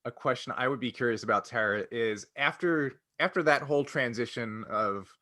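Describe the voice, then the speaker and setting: Bright, crisp-edged man, dry sound. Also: mid-range voice, moderate pace, no room sound. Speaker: podcast co-host. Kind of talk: casual chat, asking questions.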